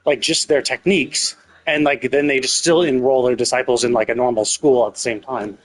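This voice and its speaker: A man's voice: medium pitch, steady tone, a little fast, soft background music, low-quality recording. A man casually commentating on a game livestream, rambling and thinking aloud as he goes. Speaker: live streamer.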